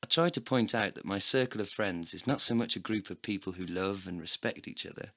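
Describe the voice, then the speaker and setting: Man acting bitter heartbroken guy narrating his own misery, deep voice with a hard edge, deadpan wordy audio play style. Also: brisk, no echo, studio quality. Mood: bitter, wry. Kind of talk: scripted first-person comedy narration.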